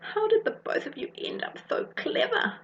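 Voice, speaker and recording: A woman voicing a small, anxious and earnest younger girl in a children's story, breathy and pleading. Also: moderate pace, a bit bright, dull audio, natural voice. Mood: excited.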